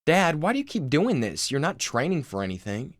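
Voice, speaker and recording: Screenplay role: sarcastic teen son, exasperated, animated delivery , voiced by a man. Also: a little high, fairly quick, no room sound, clean studio sound. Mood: incredulous. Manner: sardonic, incredulous. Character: exasperated, sarcastic eighteen-year-old son.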